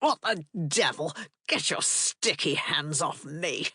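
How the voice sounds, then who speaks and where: A woman's voice: low-pitched, animated, slow, sharp tone, breathy edge, no room sound, clean studio sound, exaggerated voice. A woman voicing a cold, contemptuous aristocratic father who talks in a harsh, sneering way.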